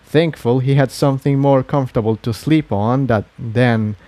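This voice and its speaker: A man's voice: medium pitch, moderate pace, dark tone, dry recording. A man narrating an adventure story from a script, as a fan fiction reader.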